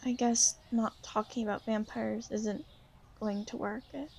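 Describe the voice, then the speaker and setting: Shy, insecure young female vampire, played by a woman, for ASMR roleplay; mellow, creaky voice, halting and apologetic. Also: fairly high pitch, fairly even intonation, lo-fi sound, a little hiss or hum. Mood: hesitant. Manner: hesitant, halting, soft-spoken, apologetic. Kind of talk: ASMR roleplay, intimate one-sided conversation.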